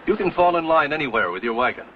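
A man's voice: on the high side, big pitch swings, fast talker. A stern, devout pioneer elder with solemn, firm speech. His voice moves expressively, and the recording is dull old TV audio with faint hiss.

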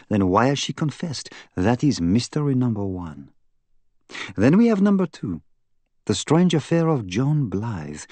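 A middle-aged male Belgian detective for an audiobook. He has a French-speaking Belgian accent and speaks with fussy, formal precision. He is earnest and gravely insistent, in a dry recording. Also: mid-range voice, a little mellow, no echo, voice pushed up. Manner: precise, formal, emphatic phrasing. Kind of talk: audiobook character acting.

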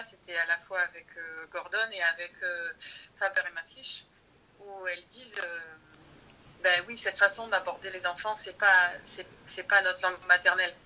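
A woman's voice: fairly low pitch, bright, forceful tone, poor audio. A woman speaking as a podcast guest about her teacher training, thoughtful and hesitant, often restarting her sentences. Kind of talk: conversational interview.

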